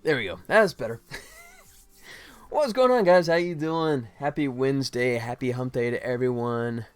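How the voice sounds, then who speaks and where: A middle-aged man streaming as a game developer, chatting casually with viewers in a friendly, self-deprecating, rambling way with halting starts. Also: mid-range voice, expressive, clear, resonant tone, dry recording, faint music behind. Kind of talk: casual livestream chat to viewers.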